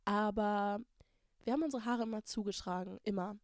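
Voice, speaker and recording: A woman's voice: medium pitch, fairly quick, darkish tone, no echo. Candid young woman, dry close sound. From Germany. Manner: candid, emphatic, mixes English words into German. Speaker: podcast host. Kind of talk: casual personal monologue.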